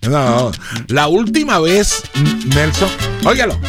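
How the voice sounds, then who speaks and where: A man's voice: fairly high pitch, sing-song, takes it slowly. A man hosting a casual interview, speaking emphatically and repeating his phrases. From Bucaramanga, Colombia. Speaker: radio host, interviewer. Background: salsa and tropical music underneath.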